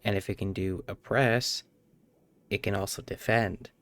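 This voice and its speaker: A man with a fairly low voice commenting on a game as he streams it. He talks casually but argues back, skeptical and pointed in his delivery. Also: fairly even intonation, a little slow. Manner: argumentative, skeptical. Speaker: game livestreamer.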